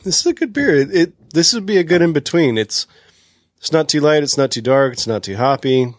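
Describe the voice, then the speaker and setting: A man on a casual beer podcast, talking through the taste of a beer with thoughtful pauses. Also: fairly high pitch, animated, no echo, studio quality. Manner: thoughtful, describes flavors. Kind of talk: casual chat.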